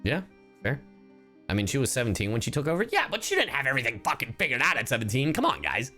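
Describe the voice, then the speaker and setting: A man's voice: medium pitch, huge pitch swings, fast, sharp tone. A man giving blunt, sweary, casual reactions as a streamer playing a story game. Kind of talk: casual live reaction commentary. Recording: game music behind the voice.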